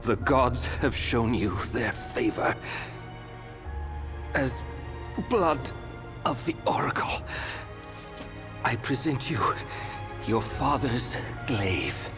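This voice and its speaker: Slow, stately nobleman. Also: medium pitch, lively intonation. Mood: reverent. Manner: stately, archaic, formal. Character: solemn, proud male noble loyal to rightful king. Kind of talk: dramatic video game voice acting. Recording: music over the voice.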